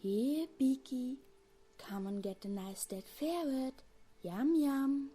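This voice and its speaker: A woman's voice: high voice, expressive, slow, dark tone, husky, faint music behind, full character voice. A woman playing a clever, practical, determined teenage witch girl in an audio drama. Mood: coaxing. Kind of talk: fan audio drama acting.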